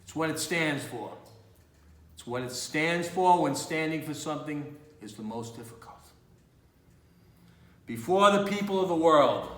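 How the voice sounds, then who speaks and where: A man acting a grave, resolute judge in a theatrical courtroom monologue, with measured, emphatic oratory. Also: on the high side, a bit bright. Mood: resolute, impassioned. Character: grave, morally resolute male judge delivering a verdict. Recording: roomy, echoey indoor room.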